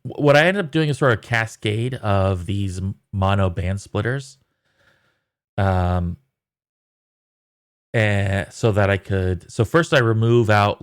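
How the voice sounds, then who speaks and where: Low-voiced man, halting casual tech walkthrough. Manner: hesitant, halting, thinking aloud. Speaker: audio developer giving a tutorial. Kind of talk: casual technical screen walkthrough.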